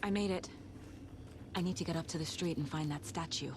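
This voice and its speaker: A resolute female investigator caught in a nightmare in a video game, played by an actress. Her voice is notably low and she thinks aloud with focus, in a naturalistic style. Loud ambient noise surrounds her. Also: brisk, lightly acted. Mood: determined.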